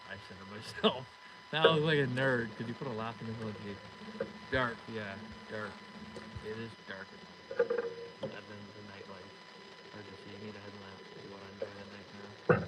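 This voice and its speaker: A young Canadian man from Northern Ontario, casually chatting with live-stream viewers and reacting to their comments with dry asides. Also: on the low side. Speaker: YouTube fishing streamer. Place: outdoors at night on a frozen lake. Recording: loud outdoor background noise.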